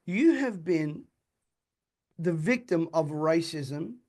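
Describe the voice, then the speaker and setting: A man's voice: a little high, expressive, slow pace, a little mellow. A man hosting an interview, earnest and apologetic, whose first language is Calabrian. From Australia. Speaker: host.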